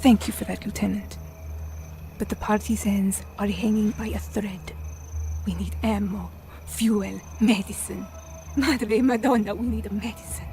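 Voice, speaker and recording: An actress playing a resolute Italian partisan with an Italian accent, speaking softly and earnestly in a game cutscene. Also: female voice, medium pitch, fairly even intonation, unhurried, soft background music, lightly acted. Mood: urgent, worried. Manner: earnest, grave, restrained. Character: resolute, grieving female Italian resistance fighter. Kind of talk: dramatic video game cutscene acting.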